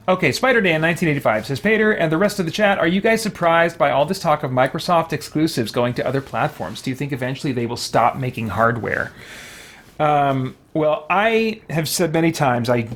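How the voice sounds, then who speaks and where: A man live-streaming a game, talking casually and haltingly as he thinks aloud and reads out viewer comments. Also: medium pitch, a bit bright.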